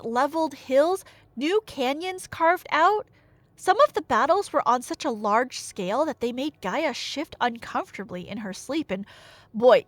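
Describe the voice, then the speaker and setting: A young woman retelling a tale as a chatty, theatrical storyteller, with emphatic delivery, dry humor and dramatic pauses. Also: high voice, a bit bright, dry recording. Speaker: audio storyteller playing a nerdy friend. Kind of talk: casual dramatic retelling of myth, as ASMR roleplay.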